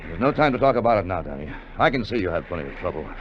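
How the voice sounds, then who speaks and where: An actor playing a shrewd, unyielding seafarer, measured and coolly menacing, in a 1950 radio drama. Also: male voice, low-pitched, big pitch swings, soft background music. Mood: calm, knowing. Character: calm, shrewd, unyielding seafaring partner turned accuser. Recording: muffled, noisy vintage radio recording.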